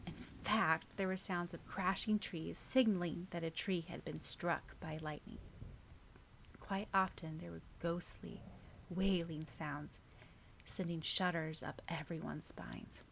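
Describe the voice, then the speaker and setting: A woman's voice: fairly low pitch, fairly even intonation, slow pace, vocal fry, fairly bright tone, slight background noise. A woman reading scripted fiction as an audiobook narrator.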